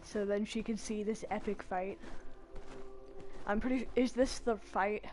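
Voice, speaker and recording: A woman's voice: medium pitch, fairly even intonation, measured pace, darkish tone, a little hiss or hum. A woman giving casual live commentary as she streams a video game. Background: game music.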